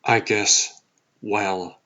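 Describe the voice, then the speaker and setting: A man's voice: lowish voice, steady tone, drawn-out words, bright, forceful tone, dull audio, exaggerated voice. A man playing a mild, awkward, apologetic reporter with a hesitant manner in a comic book dub.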